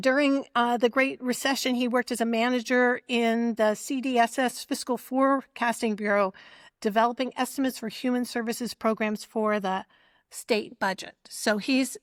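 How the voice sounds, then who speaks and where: A woman moderates a community forum, introducing each speaker in a halting way full of fillers. Her voice sits a little high and is recorded close and dry, with no room echo. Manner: hesitant, many ums and restarts.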